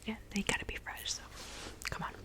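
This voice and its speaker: A woman playing a kind, fussy witch in a whispered ASMR roleplay, speaking softly and breathily in a gentle, reassuring, unhurried manner. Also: lightly acted. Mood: gentle, busy. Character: kind, fussy woodland witch mixing love potions.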